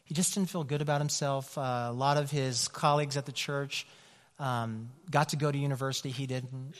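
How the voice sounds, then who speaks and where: A man's voice: mid-range voice, steady tone, normal speed. A man from California, a pastor, telling personal stories in a loose conversational way with many fillers. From California, USA. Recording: long hall reverb.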